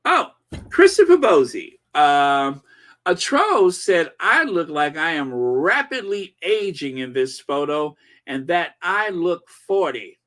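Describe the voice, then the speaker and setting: Forties male host, unhurried and meandering, fond of pauses, chatty comment-reading commentary. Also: on the high side, very animated, fairly bright tone. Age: 40s. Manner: rambling, slow, lots of fillers and pauses. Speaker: channel host.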